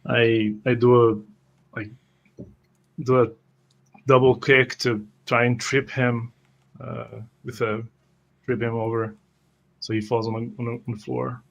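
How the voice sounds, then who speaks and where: A man with a creaky voice describes his moves in a tabletop game. His delivery is slow, halting and hesitant, with words repeated as he improvises. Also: medium pitch, little pitch movement, dull audio. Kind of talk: improvised first-person description of game actions.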